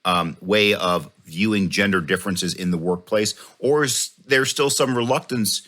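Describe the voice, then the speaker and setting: Deep voiced middle-aged guy interviewing, lots of uh and um, careful questions. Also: fairly bright tone. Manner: measured, thoughtful questions with hesitant fillers. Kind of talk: conversational interview.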